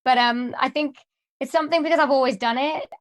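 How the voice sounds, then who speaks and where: A woman's voice: high-pitched, a little fast. Hesitant Welsh woman, interview guest. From Wales. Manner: earnest, hesitant with frequent ums. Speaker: guest, singer and voice artist. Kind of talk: casual interview answers.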